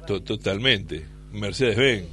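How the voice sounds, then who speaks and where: A man's voice: lowish voice, measured pace, fairly bright tone. An Argentine man in his fifties hosting with casual, jokey, slangy banter and repeated catchphrases. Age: 50s. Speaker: radio host.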